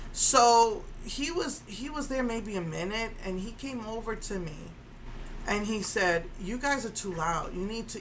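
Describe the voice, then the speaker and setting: A woman's voice: mid-range voice, pushed and bright, slight background noise, low-quality recording. Indignant woman with a strong voice. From Puerto Rico. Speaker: vlogger. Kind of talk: casual storytelling rant.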